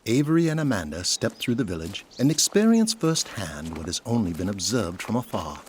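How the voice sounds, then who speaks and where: A man's voice: on the low side, sing-song, brisk, a little hiss or hum. A man reading scripted dramatic narration for an audio drama in a descriptive storytelling manner.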